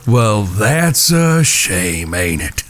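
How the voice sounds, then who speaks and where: A man playing a vengeful, coldly taunting gunslinger in a Western audio drama, with a drawl, husky and profane. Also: fairly low pitch, big pitch swings, very slow, a bit bright, heavily acted.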